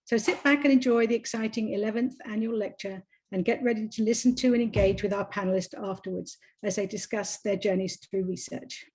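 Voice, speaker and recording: A woman's voice: medium pitch, steady tone, brisk, few pauses, darkish tone, slightly rough, low-quality recording. A woman hosting an academic event, reading a scripted introduction. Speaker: event host introducing speakers.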